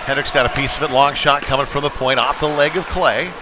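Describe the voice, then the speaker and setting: Male American sports commentator, mid-pitched voice, live hockey call, conversational, recorded amid heavy arena noise. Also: steady tone, fast talker. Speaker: play-by-play commentator. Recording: loud rink noise behind the voice. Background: noisy indoor ice rink with bangs.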